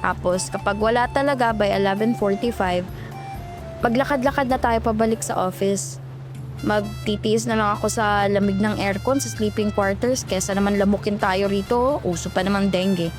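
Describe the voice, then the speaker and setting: A woman's voice: mid-range voice, steady tone, moderate pace, music in the background, close to an everyday voice. A woman acting as an exhausted young call center agent, grumbling and cautious, in a horror story. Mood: worried, wry. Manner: weary, chatty, grumbling.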